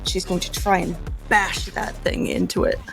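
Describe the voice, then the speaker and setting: A woman's voice: on the low side, fairly even intonation, normal speed, soft background music. A woman playing a tabletop game, describing her character's actions in vivid detail. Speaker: player.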